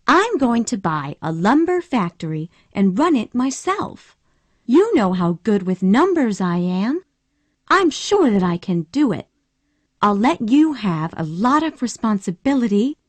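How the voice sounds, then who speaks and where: Woman putting on a fiery young southern woman, high-pitched and emotional, storybook read. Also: big pitch swings, measured pace, no room sound. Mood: eager, pleading. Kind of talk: storybook reading.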